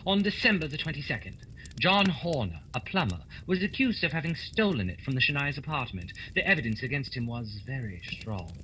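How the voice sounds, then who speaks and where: A man playing a coolly superior gentleman detective in a radio play, precise, theatrical and wry. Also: medium pitch, very animated, fairly quick, slight background noise, poor audio. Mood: authoritative. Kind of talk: holiday radio play acting.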